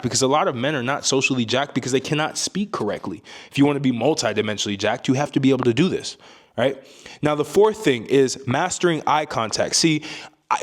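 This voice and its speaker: Brief: young man, deep calm voice, mid-pitched, emphatic self-help talk, tags "right?" often. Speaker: self-improvement YouTuber. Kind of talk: casual advice monologue to camera.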